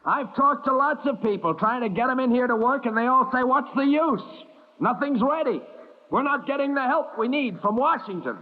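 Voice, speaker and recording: Pushy male agitator, high voice, swooping intonation, old radio drama, tinny broadcast. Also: lively intonation, moderate pace. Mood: aggrieved, insistent. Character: pushy, discontented male civil defense volunteer, outspoken agitator. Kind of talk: 1950s radio drama acting.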